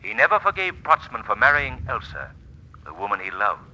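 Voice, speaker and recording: Self-assured male avenger, incisive and very sharp, dramatic rises and falls, declamatory, old-time thriller, faint recording noise. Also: on the low side, very animated, brisk, heavily acted, rough recording. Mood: accusing. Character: commanding, self-assured gentleman sleuth and mysterious avenger. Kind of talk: 1940s radio acting.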